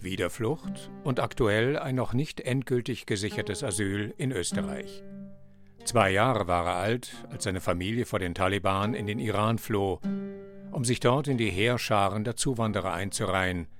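Low-voiced narrator over guitar music. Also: male voice. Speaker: podcast host and narrator. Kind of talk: scripted narration.

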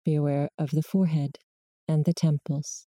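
A woman guiding a body scan meditation in slow, measured short prompts with long pauses between them. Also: deep voice, level tone, dark tone, dry recording, studio quality. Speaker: meditation guide.

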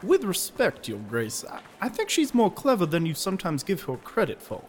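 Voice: mid-range voice, huge pitch swings, normal speed, slight background noise. A performer playing an earnest, polite, slightly hesitant adventurer in a fantasy audio drama.

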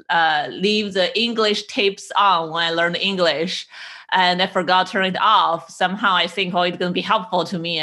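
A woman's voice: lowish voice, pushed and bright. A woman hosting a podcast, chatty and enthusiastic as she asks questions. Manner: enthusiastic, chatty, quick laughs. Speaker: podcast host. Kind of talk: podcast interview.

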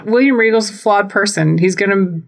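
A woman's voice: medium pitch, a little fast. A woman co-hosting a podcast in casual fan chat, blunt and informal, with some swearing. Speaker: podcast co-host.

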